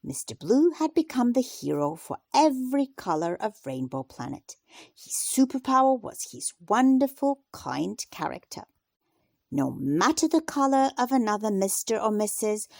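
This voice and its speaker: Female children's narrator, lowish voice with wide melodic swings, scripted read-aloud in a dry, close sound. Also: no room sound. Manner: deliberate, expressive read-aloud delivery. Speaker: storyteller reading to children.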